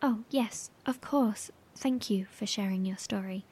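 An actress playing a compassionate, sombre woman broadcaster in a horror audio drama, speaking softly and breathily, with gentle hesitation. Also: medium pitch, measured pace, breathy edge.

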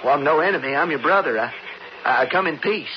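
A noisy, dull radio broadcast carries a man playing an earnest western rider. His fairly high voice moves up and down a lot, pressing and impatient in radio-play style. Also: expressive, quick pace, lightly acted. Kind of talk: old-time radio drama acting.

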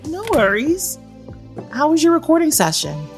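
An actress playing a caring, candid young girlfriend in an audio drama, casual and expressive. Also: high-pitched, normal speed. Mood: upbeat, interested. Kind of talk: naturalistic audio drama acting. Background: music.

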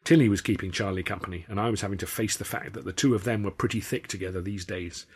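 A man's voice: fairly low pitch, steady tone, fast, breathy edge. A man reading a novel aloud as an author and narrator, in scripted audiobook style.

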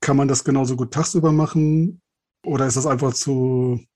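Casual male interviewer, medium pitch. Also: steady tone, moderate pace, darkish tone. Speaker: podcast interviewer.

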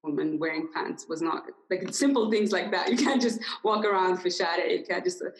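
A woman's voice: on the low side, normal speed, slight background noise, low-quality recording. Reflective young woman, hesitant speech. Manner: reflective, hesitant, laughs often. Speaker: guest. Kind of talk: casual conversation.

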